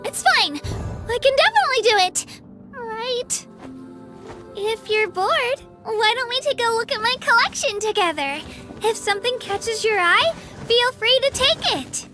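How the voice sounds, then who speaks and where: A woman voicing a timid, earnest young girl miner in a video game, speaking sweetly and a little hesitantly. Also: extremely high pitch. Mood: anxious, flustered, then friendly. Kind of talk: animated game character voice lines.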